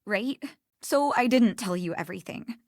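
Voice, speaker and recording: An actress voicing an earnest, guarded young museum worker in a video game, speaking emphatically and protectively. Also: female voice, medium pitch, expressive, moderate pace, darkish tone, no echo, clean studio sound, lightly acted. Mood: confessional, upset.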